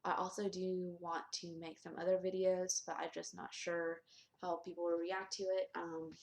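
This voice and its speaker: A young woman with a fairly low voice chats candidly to the camera, filling her sentences with ums and restarts. She records in an echoey room at home. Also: darkish tone. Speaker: vlogger. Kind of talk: casual vlog.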